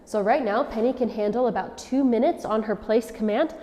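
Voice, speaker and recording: A woman dog trainer calmly explaining and demonstrating commands step by step. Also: on the high side, fairly quick. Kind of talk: instructional demonstration. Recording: long reverb from a large room.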